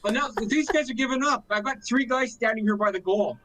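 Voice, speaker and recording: A man's voice: extremely high pitch, fairly quick, bright, forceful tone, distant mic, a little hiss or hum, poor audio. A man laughing at himself while chatting with friends over a game. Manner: laughing, self-amused. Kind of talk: casual gaming chat.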